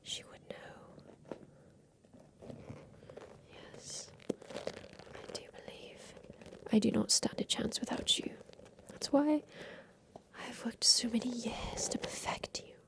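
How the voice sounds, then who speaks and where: A woman playing an aging, wistful inventor confined in a haunted attic, whispering softly and confidingly in an ASMR roleplay about revenge. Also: on the high side, full character voice. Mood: plotting, secretive. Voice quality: soft breathy whisper. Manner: slow, wistful, confiding, halting.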